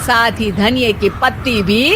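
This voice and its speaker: Woman playing a naggy bossy mother-in-law, high voice, drags her words out slowly, cartoon story. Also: fairly even intonation, noisy background, close to an everyday voice. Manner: fussy, scolding, ends with a nagging "हैं?". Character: bossy nagging middle-aged mother-in-law.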